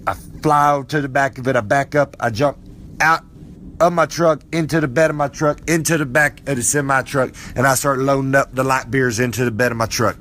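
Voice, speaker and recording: A man's voice: mid-range voice, fairly even intonation, brisk, a bit bright, slight background noise, lightly acted. A man voicing a boastful, folksy old male wrestler character with a drawl who rambles and preaches. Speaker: podcast character segment.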